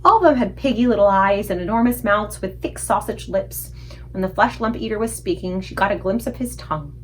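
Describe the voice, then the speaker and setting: A woman reading a children's book aloud in an expressive storytelling style. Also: mid-range voice, a little fast, a little hiss or hum, low-quality recording. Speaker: read-aloud book reader.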